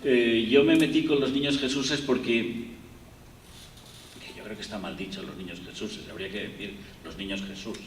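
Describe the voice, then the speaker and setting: A man's voice: fairly low pitch, steady tone, moderate pace. Spanish man lecturing in an echoey hall. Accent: Spanish (Spain). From Spain. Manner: rambling, digressive, many fillers and restarts. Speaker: guest lecturer. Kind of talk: university lecture. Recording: echoey hall, distant, faint room noise.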